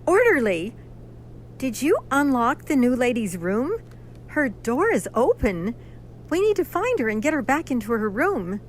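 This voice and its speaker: A woman playing a brisk, authoritative asylum nurse in an audio horror drama. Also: sits high, big pitch swings, slight background noise. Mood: urgent.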